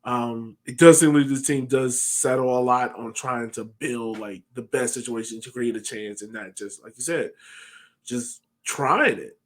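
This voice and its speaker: A man's voice: mid-range voice, measured pace. An American man, a podcast host, casually discussing sports on a video call. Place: home room, on a video call. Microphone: headset on a video call.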